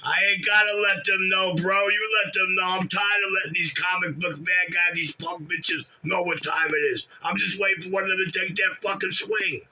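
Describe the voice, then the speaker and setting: A man's voice: high-pitched, fairly even intonation, moderate pace, hardly any pauses, bright, forceful tone, a little hiss or hum. A man, bragging and trash talking profanely in casual chat. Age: 60s. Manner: brash, boastful, profane trash talk. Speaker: regular on a call-in chat room show. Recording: distant mic.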